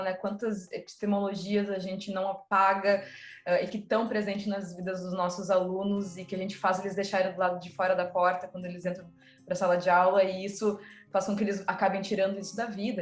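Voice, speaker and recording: A woman with a southern Brazilian accent interviewing conversationally, searching for words and repeating phrases as she goes. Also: mid-range voice. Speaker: interviewer, teacher. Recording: roomy echo, music in the mix.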